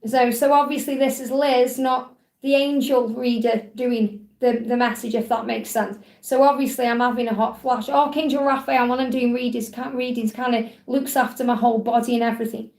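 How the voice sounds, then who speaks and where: A woman's voice: fairly high pitch, fairly even intonation, normal speed, darkish tone. A woman in her forties chatting casually and upbeat as host of a live video, reading out viewer comments as she goes. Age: 40s. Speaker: livestream host. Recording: echoey room.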